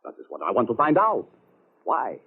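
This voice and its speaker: A man's voice: medium pitch, huge pitch swings, fairly quick, husky, heavily acted. A man playing an agitated, harried doctor with stiffly formal phrasing, in 1940s radio acting style. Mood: troubled, suspicious. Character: agitated, formal, foreign-sounding male doctor, harried husband. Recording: dull old radio recording.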